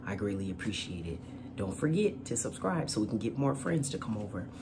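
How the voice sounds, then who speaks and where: A man's voice: fairly low pitch, big pitch swings, a little fast, gravelly, noisy background. A man presenting and reading aloud as host of a children's storytime, with a courteous manner. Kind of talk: storytime read-aloud and channel chat.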